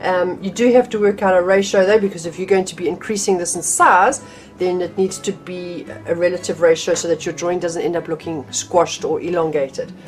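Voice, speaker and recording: A woman's voice: lowish voice, fairly even intonation, a little fast, few pauses, faint music behind. A middle-aged woman teaching drawing from her home art room, chatty and a little nasal from a cold, with frequent ums and restarts. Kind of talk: casual art tutorial.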